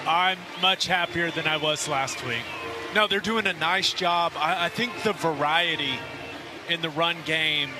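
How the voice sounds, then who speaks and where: Man, live football analysis, somewhat high pitch, measured technical explanations, noticeable musical backdrop. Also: over music.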